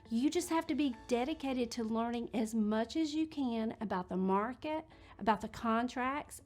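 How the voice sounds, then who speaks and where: A woman's voice: high-pitched, darkish tone. A woman in her late forties, a real estate agent, telling her story in a chatty, candid way. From Georgia, USA. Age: 40s. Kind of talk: casual talk to camera.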